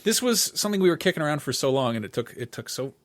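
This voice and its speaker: A man's voice: on the high side, sing-song, racing. A middle-aged man co-hosting a podcast in casual conversation, informal and wry, with the odd mild swear. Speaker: podcast co-host.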